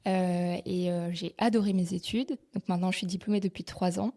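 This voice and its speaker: A woman's voice: lowish voice, steady tone, brisk, dark tone. A woman in her twenties from France, a physiotherapist guest chatting openly on a podcast with frequent small hesitations. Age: 20s. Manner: open and friendly, with frequent filler hesitations. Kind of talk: casual interview chat.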